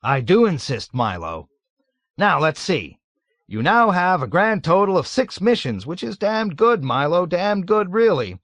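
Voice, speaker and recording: A man voicing a jovial, nervous middle-aged colonel who gushes loudly and is eager to please. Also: high voice, very animated, measured pace, no echo, voice pushed up, full character voice. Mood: gushing. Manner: loud, gushing, eager to please.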